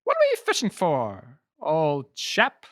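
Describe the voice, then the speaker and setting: A woman's voice: sits low, wildly swooping pitch, slow, no echo, clean studio sound, pitched below the performer's own voice, full character voice. A woman voicing a gleefully vicious, jeering villainous sidekick in a theatrical audiobook style. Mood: jaunty mockery. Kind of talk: audiobook character acting.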